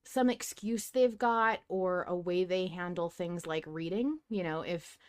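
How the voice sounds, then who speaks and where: A woman's voice: lowish voice, measured pace, smooth. A woman hosting a podcast, chatting casually about fiction as a fan in a playfully dramatic way. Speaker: podcast host.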